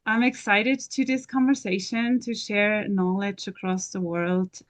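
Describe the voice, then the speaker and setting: Swiss lady hosting an interview, non-native english w swiss accent, earnest, phrases things deliberately. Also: medium pitch, steady tone. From Switzerland. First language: not English. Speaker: interview host. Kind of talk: introducing a guest in conversation.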